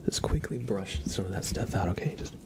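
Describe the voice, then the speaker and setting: A soft-spoken man hosting a live ASMR stream, chatting casually with viewers and often dropping into whispers, close to the microphone. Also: low-pitched, expressive, a little fast. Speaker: ASMR livestreamer, host.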